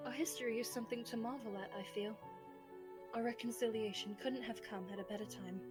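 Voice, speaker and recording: A woman's voice: a little high, steady tone, brisk, music in the background, close to an everyday voice. A woman voicing a tender, genteel young bride with formal, affectionate speech in an anime fan roleplay.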